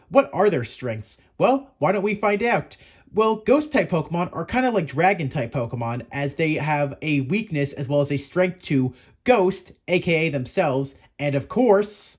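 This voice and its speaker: A man with a somewhat high-pitched voice presents a casual explainer. He reads scripted material conversationally, as if chatting with the viewer. He likes to pose a question and then answer it himself. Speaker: host of a Pokemon explainer show.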